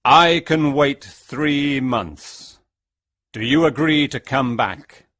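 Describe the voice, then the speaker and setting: A reader voicing an angry male beast in a storybook, speaking slowly and threateningly. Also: medium pitch, fairly bright tone. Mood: stern. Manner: slow, heavy, threatening speech. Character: huge angry male beast, stern and menacing. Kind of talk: storybook reading.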